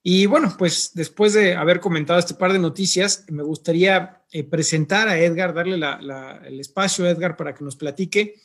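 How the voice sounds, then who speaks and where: A man hosts an interview in a slightly husky voice that sits a touch high for a man. He talks in an unhurried, hesitant way, often pausing to find his words. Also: fairly bright tone. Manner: unhurried, hesitant, fills pauses with eh and pues. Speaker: podcast host.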